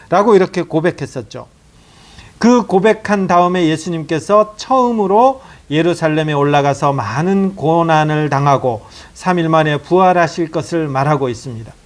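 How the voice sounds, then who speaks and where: Man preaching through scripture, fairly high voice. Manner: explains scripture verse by verse, asking rhetorical questions. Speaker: pastor. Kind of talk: expository sermon.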